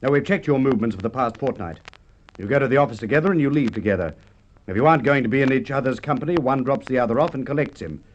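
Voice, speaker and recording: A male actor plays a shrewd Australian police inspector, firm and methodical. His middle-pitched voice has the delivery of Golden Age radio drama. The old recording is muffled, with faint noise. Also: fast, close to an everyday voice. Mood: firm, impatient.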